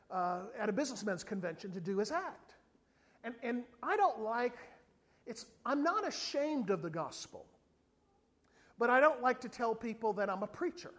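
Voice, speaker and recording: Male preacher, sermon, humorous storytelling, animated delivery. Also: sits high. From not from South Africa. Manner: animated storytelling, acts out other people's voices. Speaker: evangelist.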